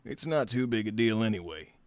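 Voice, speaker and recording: Actor as composed crew medic, lowish male voice, measured, formal, dry close recording, audio drama. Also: animated, a little mellow, clean tone, no room sound, close to an everyday voice. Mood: firm, apologetic. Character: calm, matter-of-fact medic of a spaceship crew.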